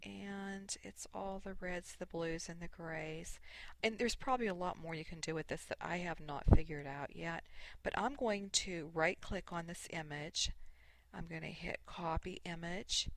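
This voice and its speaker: A sniffly woman hosting a casual, unscripted craft software tutorial, thinking aloud in short, halting steps. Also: lowish voice, gravelly, no room sound. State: sniffly from allergies. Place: voice-over recorded indoors at a computer.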